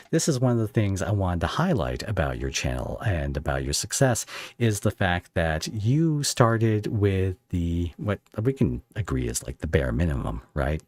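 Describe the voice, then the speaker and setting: A man with a very deep voice interviews as a podcast host. His intonation is highly animated, and he talks effusively in halting, thinking-aloud phrases. The sound is dry and close, with little room to it. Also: no echo. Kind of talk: casual conversational interview.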